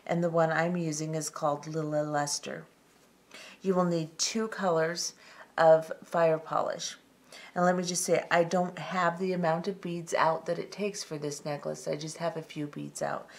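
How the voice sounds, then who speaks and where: Calm woman teaching a beading tutorial. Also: low-pitched. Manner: calm, methodical, with frequent ums. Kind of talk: step-by-step craft tutorial. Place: tabletop craft workspace, indoors.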